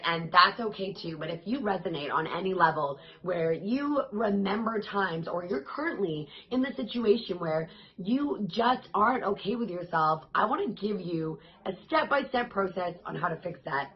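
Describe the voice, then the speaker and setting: A woman fitness coach talking casually to her viewers, her voice raspy and hoarse, in an upbeat, chatty and encouraging way. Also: lowish voice, muffled recording. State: hoarse, voice worn out. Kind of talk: casual vlog talk to camera.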